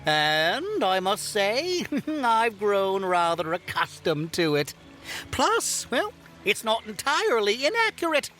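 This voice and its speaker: Whimsical ancient elf, giggly and courtly, unhurried and very animated, storybook fantasy. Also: medium pitch, exaggerated voice. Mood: coy, amused. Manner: giggly, theatrical, old-fashioned formal speech. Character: whimsical, merry old male elf of village legend. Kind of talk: audio drama fairy-tale acting.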